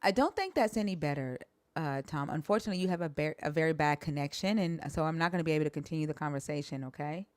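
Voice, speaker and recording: A woman hosting a call-in live stream, brisk and direct with her callers. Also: low-pitched, darkish tone, dry recording.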